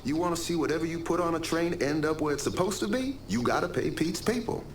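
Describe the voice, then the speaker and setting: An actor playing a cheeky, chatty small-time crook in a video game cutscene, slangy and joking. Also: male voice, fairly high pitch, quick pace, soft background music, lightly acted. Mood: cocky, amused. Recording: roomy echo, faint background noise.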